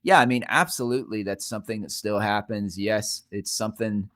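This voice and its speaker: A man's voice: on the low side, a little fast, fairly bright tone, no room sound, clean studio sound. A middle-aged man, an author, talking as a podcast guest in a thoughtful, explanatory way with fillers and restarts. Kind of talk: casual interview chat.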